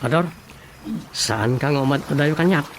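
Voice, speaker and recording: A man's voice: medium pitch, moderate pace, slight background noise, lightly acted. A man with an Ilocano Filipino accent playing a teasing, watchful village councillor in a radio drama. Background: music.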